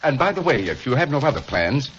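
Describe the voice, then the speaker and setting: A man's voice: mid-range voice, big pitch swings, quick pace, fairly bright tone, a little hiss or hum. An actor playing a kindly, teasing older male dean in a 1940s radio drama, with a genial, chuckling manner. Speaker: dean and counselor. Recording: dull lo-fi 1940s radio recording.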